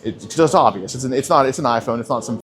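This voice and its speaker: A man calmly questioning someone and then explaining the situation to police, in halting speech full of restarts, indoors at a casino. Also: mid-range voice. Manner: calm, halting, with many restarts. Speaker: sting confrontation host. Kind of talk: confrontational questioning.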